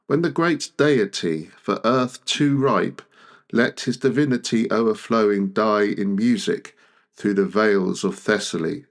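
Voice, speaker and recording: A man reading classic verse aloud in a measured, deliberate way, pausing often between phrases. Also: on the low side. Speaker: poetry reader. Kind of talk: literary poetry recitation.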